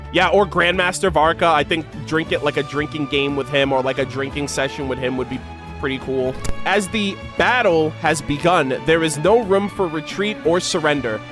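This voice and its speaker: Man, fairly high voice, casual gaming commentary and lore reading, enthusiastic fan manner, music in the background. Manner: slangy, enthusiastic fan talk. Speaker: gaming reaction video creator. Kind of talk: casual reaction commentary mixed with reading game lore aloud.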